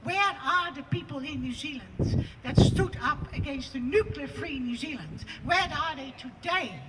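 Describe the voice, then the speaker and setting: A woman with a Dutch accent giving an impassioned, declamatory protest speech outdoors at a rally, building to loud emphatic peaks. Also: high voice, animated, a little slow. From Netherlands. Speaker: protest speaker. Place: outdoor rally on parliament steps. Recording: distant mic, loud outdoor noise.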